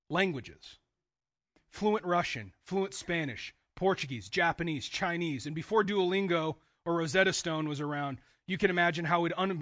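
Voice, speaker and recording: High-pitched, bright-voiced male preacher. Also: no echo, muffled recording. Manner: emphatic, dramatizes imagined dialogue, repeats phrases. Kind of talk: sermon to a congregation.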